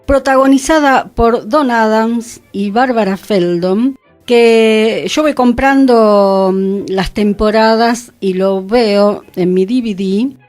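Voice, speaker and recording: Mujer argentina de voz aguda en radio. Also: female voice, a little high, slow pace, a little mellow, faint music behind. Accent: Argentine Rioplatense Spanish. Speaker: radio co-host. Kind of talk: conversational radio talk.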